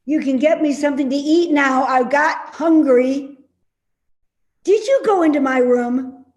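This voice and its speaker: High-pitched, querulous older mother played by a woman. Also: measured pace, a little mellow, reverberant. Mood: querulous, accusing. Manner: querulous, scolding. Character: cantankerous, complaining older mother, stubborn and suspicious. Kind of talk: play reading.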